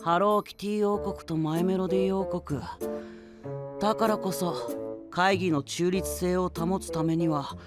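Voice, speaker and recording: A man's voice: sits high, fairly even intonation, a little slow, over loud music. A man playing a cocky, playful pretty-boy knight in an anime audio drama, breezy and teasing with a condescending streak.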